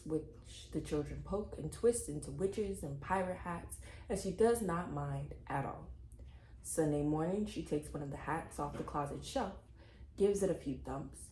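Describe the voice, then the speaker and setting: A woman reads a children's story aloud with plenty of expression. Her voice sits a little low and has a soft, dark tone. The room around her adds a clear echo. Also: a little hiss or hum. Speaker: read-aloud narrator.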